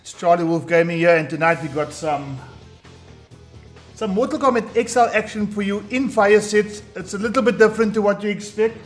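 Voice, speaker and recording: A man with a booming voice hosting a live tournament stream, hyped and talking straight to his chat. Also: a little fast. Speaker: tournament host. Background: faint background music.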